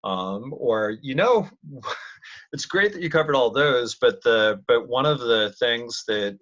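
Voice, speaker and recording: A man leading a casual work conference call, thinking aloud as he presents and often pausing to restart his sentences. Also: medium pitch, lively intonation, a bit bright. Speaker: meeting leader presenting slides. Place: online video conference call. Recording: muffled, lo-fi conference call audio.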